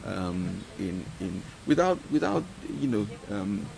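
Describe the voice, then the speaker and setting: Male interview guest, lowish voice, thoughtful, restarts often, grew up in Ghana. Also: very animated, slow, gravelly, low-quality recording. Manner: thoughtful, many restarts and fillers. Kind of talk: podcast interview.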